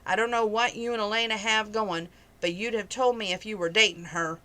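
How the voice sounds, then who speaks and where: A woman's voice: medium pitch, steady tone, a little fast, a bit bright, lightly acted, rough recording. A woman reading as a blunt, doubting cowboy brother. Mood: skeptical. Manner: blunt, disbelieving.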